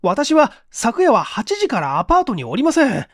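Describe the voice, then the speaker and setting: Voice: mid-range voice, huge pitch swings, moderate pace, no room sound, clean studio sound, pitched above the performer's own voice. A performer voicing a nervous, cornered male clerk in an audiobook, stammering and flustered as he pleads innocence. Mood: flustered protest.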